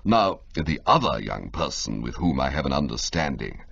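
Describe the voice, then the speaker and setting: A man voicing an unflappable, formal male valet who speaks quietly and deferentially. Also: extremely low pitch, very animated, normal speed, gravelly, breathy edge, voice pushed down, full character voice. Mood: grave. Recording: slightly muffled lo-fi audio.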